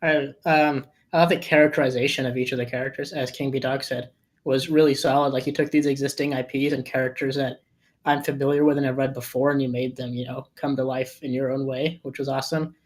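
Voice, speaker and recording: A man's voice: medium pitch, little pitch movement, brisk. A man giving casual feedback on a script in an informal, conversational way. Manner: informal, peppered with "you know". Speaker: table read participant giving script feedback.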